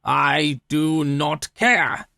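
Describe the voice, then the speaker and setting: A man's voice: on the high side, drawn-out words, creaky, pushed and bright, no echo, clean studio sound, voice pushed up, full character voice. A man voicing a boastful, tipsy, hearty wine connoisseur in a storybook reading, exclamatory and scornfully dismissive. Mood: insistent, dismissive. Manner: exclamatory, blustering, dismissive with laughs.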